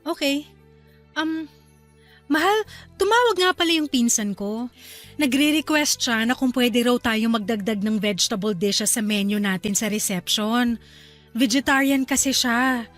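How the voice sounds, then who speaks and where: A woman's voice: a little high, a little slow, a bit bright, faint music behind. A woman playing an agreeable, affectionate young fiancée in a conversational radio drama scene. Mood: casual, agreeable. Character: Aika, agreeable young bride-to-be, soft-spoken fiancée.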